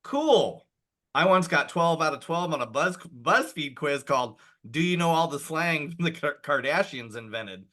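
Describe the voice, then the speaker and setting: A man's voice: a little high, lively intonation, measured pace, fairly bright tone. A man co-hosting a podcast, upbeat, retelling lines from his notes. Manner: reads quotes from notes, upbeat. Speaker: podcast co-host. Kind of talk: casual chat.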